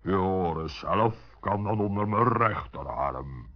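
A huge giant acted by a man with an extremely deep voice. He speaks slowly and with calm, kindly authority in a children's radio play, on a muffled old recording. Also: exaggerated voice. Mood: commanding, kindly. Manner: measured, authoritative.

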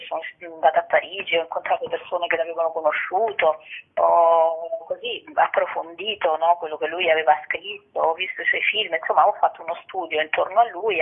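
A woman, an actress and playwright, explaining her work reflectively in an interview, searching for words and adding little tag questions. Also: on the low side. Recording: tinny phone line on radio.

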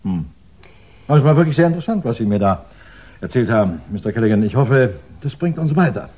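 A man's voice: mid-range voice, animated, fast talker, slightly rough. An actor playing a Scotland Yard investigator in a 1960s radio crime drama, formal, polite and persistent in his questioning. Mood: courteous, dismissive. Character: courteous, probing Scotland Yard police investigator. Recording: dull vintage 1960s radio recording.

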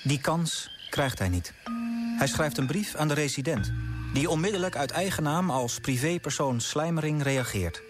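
A man's voice: a little high, lively intonation, normal speed, over loud music, close to an everyday voice. A man acting as the matter-of-fact narrator of a Dutch radio play, reading scripted storytelling.

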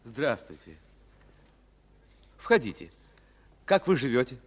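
An actor playing a reserved, sincere army captain around thirty in a wartime radio drama, plain-spoken and restrained. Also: male voice, medium pitch, big pitch swings, slow pace, breathy edge, long reverb tail, heavily acted. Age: young adult.

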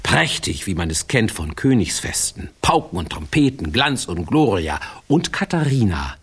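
An actor narrating a fairy-tale radio play as a wry, folksy, colourful storyteller. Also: male voice, lowish voice, very animated, normal speed, fairly bright tone, breathy edge, exaggerated voice.

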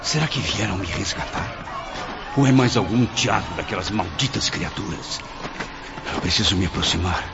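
A man's voice: sits low, moderate pace, highs cut off, music in the background. An actor playing a despairing male soul trapped in darkness, anguished and pleading, in a radio drama. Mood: anxious.

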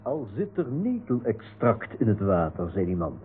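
A man's voice: fairly low pitch, wildly swooping pitch, normal speed. A man playing an earnest, slightly hesitant old professor in a radio play. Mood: earnest, conspiratorial. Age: older. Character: earnest old professor plotting detective work. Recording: narrow phone-like radio sound, faint noise.

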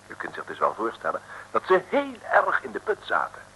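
A man narrates an old radio serial in a fairly low voice. His delivery is lively and melodic, chatty and tongue-in-cheek. The vintage recording has clear background noise. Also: brisk, poor audio. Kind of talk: wry 1950s-60s radio storytelling.

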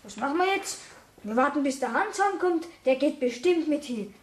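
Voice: high-pitched, lively intonation, moderate pace. A young performer playing an earnest, grateful schoolboy with a Bavarian accent in a German children's radio play. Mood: anxious, hopeful. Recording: roomy echo.

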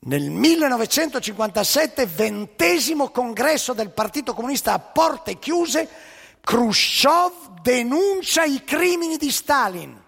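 A man with a raspy voice performing an emphatic, ironic theatrical monologue on stage, with asides to the audience. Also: extremely high pitch, big pitch swings, a little slow, fairly bright tone. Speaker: stage storyteller. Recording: live hall with long reverb.

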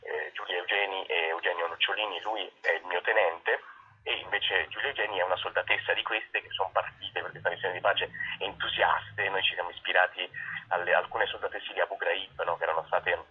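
A man, an actor and director being interviewed, explaining a show conversationally and often rephrasing himself mid-sentence, with a husky voice. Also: low voice, fairly even intonation, a little fast. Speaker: theatre actor and director, guest. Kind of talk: conversational interview answers, presenting a play. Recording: tinny telephone line on radio.

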